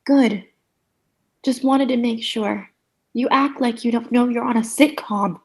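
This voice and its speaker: A woman's voice: a little high, steady tone, measured pace, darkish tone. A woman playing a relentlessly cheery, bossy sitcom character in a comedic radio play, theatrical, gushing and condescending. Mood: ominous teasing.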